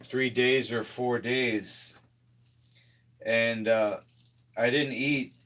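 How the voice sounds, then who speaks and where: A hoarse man talking casually in a slow, rambling health vlog, often trailing off quietly. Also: mid-range voice, fairly even intonation. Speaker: health vlogger.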